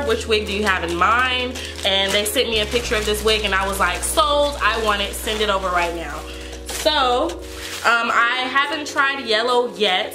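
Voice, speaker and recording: A woman beauty vlogger with a stuffy, congested voice from a cold, chatting casually to viewers and filling her talk with "like" and "um". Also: on the high side. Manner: chatty, filler-heavy, addresses viewers as "you guys". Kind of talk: casual wig review chat. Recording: echoey room with background music.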